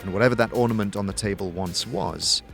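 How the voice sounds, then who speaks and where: A man's voice: lowish voice, a little fast, vocal fry, a bit bright, faint music behind. A man delivering scripted second-person fantasy narration as a storyteller. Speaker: narrator and lead actor.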